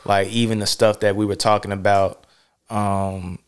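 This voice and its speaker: Guy with a kinda low voice rambling on his podcast, lots of likes and restarts. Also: level tone, moderate pace, clean tone. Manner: rambling, heavy on filler words and restarts. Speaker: podcast host. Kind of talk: casual podcast conversation.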